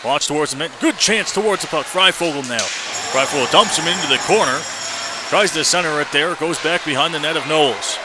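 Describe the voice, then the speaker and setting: A man's voice: fairly high pitch, fairly quick. A man doing live radio play-by-play commentary of a hockey game in clipped running phrases. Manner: clipped running phrases, excited shouts on big plays. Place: indoor ice hockey arena. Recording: noisy live arena broadcast.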